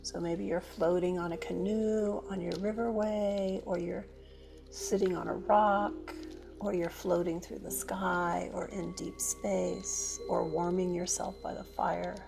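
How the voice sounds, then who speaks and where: Slow, gravelly-edged woman guiding meditation. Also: on the low side, little pitch movement, over music. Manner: gentle, unhurried, pausing between phrases. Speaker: yoga meditation teacher. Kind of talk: guided meditation.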